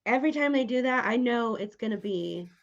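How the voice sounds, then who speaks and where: A woman's voice: fairly high pitch, expressive, a little fast. A woman interviewing in a casual, chatty way, on slightly dull lo-fi audio. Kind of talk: casual chat.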